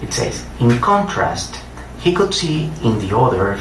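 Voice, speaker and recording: A middle-aged man from Colombia with a Colombian Spanish accent, speaking haltingly as he introduces and reads his writing to a live gathering. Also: medium pitch, sing-song. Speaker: writer and poet giving a reading. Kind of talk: public reading with personal introduction. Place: echoey room at a live reading event. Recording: roomy, distant mic, clear noise.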